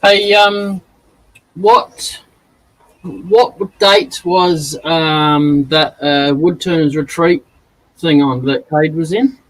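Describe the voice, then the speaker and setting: A man's voice: a little high, fairly even intonation, very slow, fairly bright tone, low-quality recording. A man with an Australian accent chatting casually as the host of a livestream. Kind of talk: casual chat.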